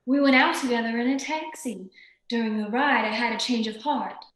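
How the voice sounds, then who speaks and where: A woman's voice: a little high, normal speed, fairly bright tone, natural voice. Woman playing a cool femme fatale, echoey room. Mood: cool, composed. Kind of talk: old-time radio detective drama acting.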